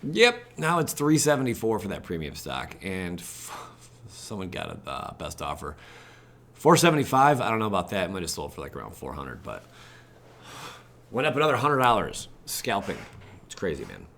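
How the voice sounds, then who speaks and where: A man with a fairly low voice and an American accent talks like a gaming channel host. He rambles informally in a casual, chatty way, dropping in mildly exasperated asides as he goes. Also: wildly swooping pitch, normal speed, a bit bright, a little hiss or hum. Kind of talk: casual livestream chat, reacting to web pages.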